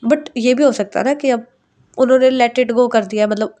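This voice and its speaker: A woman voicing a cheeky younger sister who talks in a calm, matter-of-fact, reasoning way. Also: fairly high pitch, moderate pace, natural voice.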